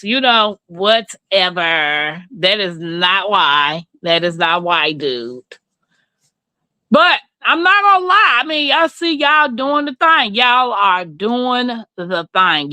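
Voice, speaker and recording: A woman's voice: medium pitch, bright, forceful tone, clear, resonant tone, dry recording. A middle-aged woman with a Southern US accent, chatting casually and candidly with livestream viewers in a folksy way. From Mississippi, rural southern United States. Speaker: livestream host.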